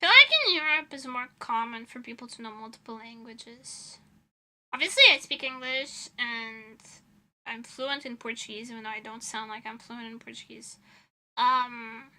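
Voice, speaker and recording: A woman's voice: fairly high pitch, fairly even intonation, normal speed, bright, forceful tone. A young woman streaming as a VTuber, chatting casually with her viewers in a rambling, giggly way.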